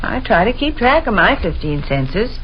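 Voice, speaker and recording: A woman's voice: mid-range voice, big pitch swings, normal speed, close to an everyday voice. A woman playing a thrifty, plain-spoken, challenging American homebody wife in a 1930s radio comedy. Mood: skeptical, scolding. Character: Sade, thrifty, nagging, folksy homebody wife. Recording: dull, noisy 1930s radio recording.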